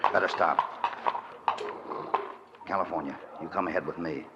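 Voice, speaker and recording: An actor playing a calm, commanding cowboy hero in 1940s radio acting style. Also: male voice, deep voice, moderate pace. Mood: steady. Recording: muffled old radio recording with hiss.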